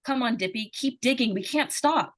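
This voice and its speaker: महिला की आवाज़ में दृढ़ निश्चयी छोटी लड़की, ऊँचा स्वर. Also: female voice, on the high side, normal speed, a bit bright, lightly acted. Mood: pleading, insistent. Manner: earnest, insistent, plain childlike phrasing. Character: earnest, determined young schoolgirl telling her story. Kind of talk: old-time radio suspense drama, performed live.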